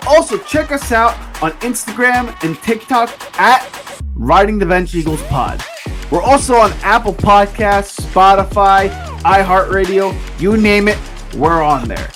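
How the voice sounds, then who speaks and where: A woman's voice: mid-range voice, expressive, unhurried, a bit bright, faint music behind. Singsong woman reading a podcast outro. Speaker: outro announcer. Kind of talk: upbeat scripted outro.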